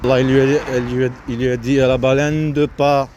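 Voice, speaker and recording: A man's voice: medium pitch, flat delivery, a little fast, darkish tone, dull audio. A man giving a spontaneous, rambling monologue outdoors, repeating himself in fragmented phrases as his thoughts drift. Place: outdoors near road with vehicles. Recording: noisy outdoor street, traffic noise.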